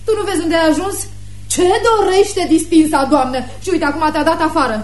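An actress playing a blunt yet vulnerable young daughter, defiant and earnest, in a radio theatre drama. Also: female voice, very high voice. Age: young adult.

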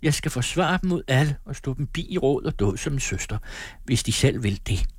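A man puts on a virtuous young woman from a classic novel, pitched up and imploring. The speech is formal and earnest, recorded dry and close. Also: lively intonation, breathy edge, no room sound. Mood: distressed pleading.

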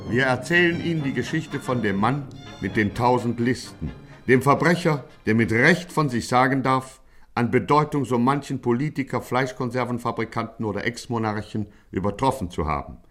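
An actor playing a pompous, mock-heroic narrator in a 1950s radio play, declaiming dramatically. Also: male voice, mid-range voice, moderate pace, a bit bright, soft background music, lightly acted. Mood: grandly ironic. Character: pompous mock-heroic male chronicler of a gangster.

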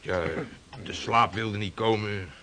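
A man's voice: on the low side, fairly even intonation, slow, sharp tone, slight background noise. A man playing a sly, evasive sailor in a radio drama, stammering hesitant excuses. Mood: defensive. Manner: stammering, hesitant, self-justifying.

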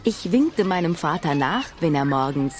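An actress playing a grown woman who recalls her girlhood in measured, literary radio drama narration. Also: deep voice, animated. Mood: reflective, composed. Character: adult female narrator recalling her girlhood, composed storyteller. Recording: studio radio drama with music.

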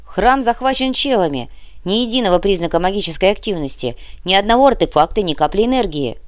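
A woman voicing a brisk male intelligence analyst in an audiobook, reporting precisely and with firm certainty. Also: medium pitch, expressive, no echo, voice pushed up, lightly acted. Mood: emphatic, certain. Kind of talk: audiobook character acting.

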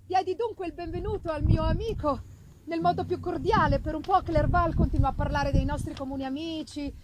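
High-pitched woman reading Gothic fiction theatrically. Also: a little fast, noisy background. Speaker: reader performing a literary text. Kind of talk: dramatic audiobook-style reading of a Gothic novel.

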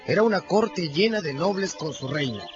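A man plays a know-it-all boy leader in a radio drama. His voice is high and he lectures with confident emphasis. The sound is slightly dull, with music behind. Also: animated, moderate pace, natural voice. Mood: cocksure.

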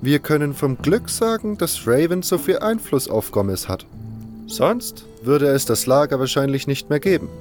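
A man's voice: on the high side, expressive, moderate pace, a little mellow, faint music behind, voice pushed up, close to an everyday voice. A man voicing a blunt, knowing prison-camp guide who explains things frankly in a fantasy audio drama. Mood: frank, wary.

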